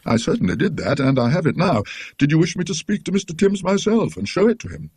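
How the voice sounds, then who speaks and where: A man voicing a polite, educated British gentleman in a ghost story, mildly inquisitive, with a courteous, formal manner. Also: on the high side, sing-song, quick pace, husky, voice pushed up. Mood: curious, attentive.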